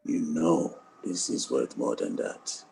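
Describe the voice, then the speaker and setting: A man reading the part of a resolute, sorrowful soldier bent on revenge, in a quiet, deliberate, grave way. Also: a little hiss or hum, natural voice. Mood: bitter grief. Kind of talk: dramatic script table read.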